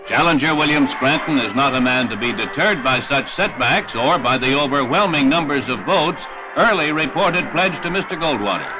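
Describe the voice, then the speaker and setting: A man's voice: medium pitch, lively intonation, normal speed, hardly any pauses, rough recording. A man with an American accent reading scripted 1960s newsreel narration in a formal announcer's delivery. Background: music.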